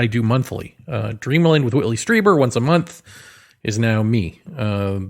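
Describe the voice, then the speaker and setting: A man's voice: medium pitch, vocal fry. Rambling, wry male podcast host. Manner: rambling, hesitant, thinking aloud; self-deprecating, wry. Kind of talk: casual solo podcast monologue.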